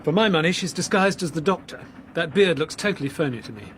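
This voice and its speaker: A man's voice: expressive, fast, lightly acted. An actor playing a wry, gallant young Englishman with an English accent in a radio drama. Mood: wry, teasing. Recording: clear train rumble behind.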